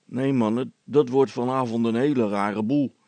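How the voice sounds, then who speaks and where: A man's voice: mid-range voice, fairly even intonation, normal speed, mellow, no echo, close to an everyday voice. A man voicing a good-natured, proud village policeman with a folksy, rambling, hesitant way of talking in a comic story. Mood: conspiratorial. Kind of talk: character voice in a read-aloud comic story.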